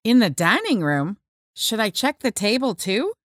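A woman reading scripted announcements and dialogue for a listening test, in a clear and even way. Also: on the high side, measured pace, no room sound, studio quality.

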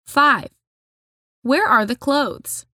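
A woman announcing the numbered questions of a listening test, reading slowly and deliberately. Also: high voice, expressive, bright, forceful tone, no room sound, clean studio sound. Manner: slow, deliberate reading of instructions. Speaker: test narrator.

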